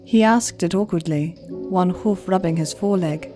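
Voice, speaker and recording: A woman narrating a scripted story in a measured, gentle storytelling manner. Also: lowish voice. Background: faint music.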